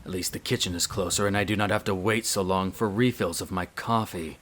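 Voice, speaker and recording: A man's voice: sits low, fairly even intonation, a little fast, a bit bright. A man playing a haughty, irritable aristocratic vampire master in an audio roleplay, speaking in a curt, condescending, formal manner. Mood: grumbling. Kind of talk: scripted audio roleplay acting.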